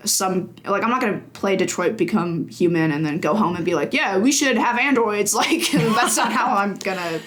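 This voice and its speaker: A woman's voice: mid-range voice, moderate pace, few pauses. A woman in her twenties, a games journalist guest, talking casually with many fillers and frequent laughs. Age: 20s. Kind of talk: casual conversation.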